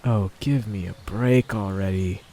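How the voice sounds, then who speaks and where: A man acts out a young anime hero lost in a fantasy world. His voice sits a little low. He mutters to himself with wry, self-mocking theatricality. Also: fairly even intonation, a little slow, dark tone. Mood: exasperated, muttering. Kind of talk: anime dub internal monologue.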